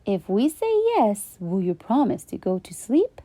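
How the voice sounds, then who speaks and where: Storybook mom at bedtime, gently firm, soft mellow tone, read by a woman. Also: mid-range voice, very animated, measured pace. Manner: gently scolding.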